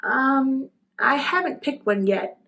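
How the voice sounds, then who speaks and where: A woman's voice: high-pitched, expressive, slow pace, creaky, a bit bright, highs cut off, pitched above the performer's own voice, exaggerated voice. A woman reading a storybook as a shy young girl, earnest and hesitant. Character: shy young Korean girl, new at school.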